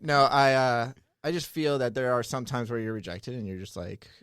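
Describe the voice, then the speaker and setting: A man's voice: medium pitch, normal speed, fairly bright tone, clean tone, no echo. Man, podcast guest, easygoing rambler with a mid-range voice, dead-dry recording. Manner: rambling, heavy use of "like", frequent laughter. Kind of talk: casual chat.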